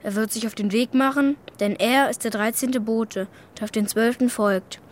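A woman's voice: fairly high pitch, fairly even intonation, normal speed, lightly acted. A woman playing an earnest, eager young boy who is an apprentice ghost hunter in a children's radio play. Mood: uneasy.

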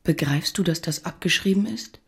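A woman's voice: on the low side, moderate pace, a bit bright, husky, full character voice. An actress playing a forthright, insistent woman in a radio drama, speaking directly and urgently. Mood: pressing. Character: insistent, forthright woman challenging her former boyfriend.

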